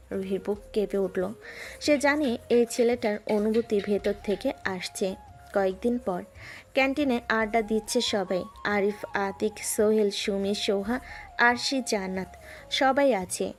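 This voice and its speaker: A woman narrating a romantic story in a soft, even storytelling manner. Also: mid-range voice. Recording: music underneath.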